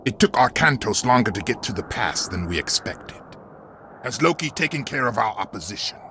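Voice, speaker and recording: Gravelly, menacing cyclops warlord. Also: male voice, on the low side, sing-song, brisk, fairly bright tone, a little hiss or hum. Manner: menacing, theatrical. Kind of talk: video game cutscene acting.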